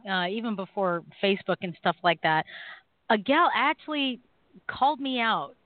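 A woman hosting a call-in talk radio show, chatting casually and animatedly with quick affirmations. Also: medium pitch, lively intonation, dry recording. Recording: tinny phone-like dry audio.

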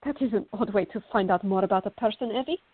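A woman's voice: mid-range voice, fast talker, husky, natural voice. An actress playing a fiery, indignant young woman in a radio drama, arguing and questioning. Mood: exasperated. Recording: tinny, band-limited, echoey room.

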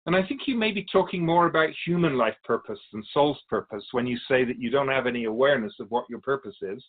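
A man playing a calm otherworldly spirit guide in a radio drama, explaining things in a measured, quizzical way as an interview guest. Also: mid-range voice, phone-quality sound, close to an everyday voice. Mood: patient teaching. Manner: measured, explanatory, quizzical about human speech.

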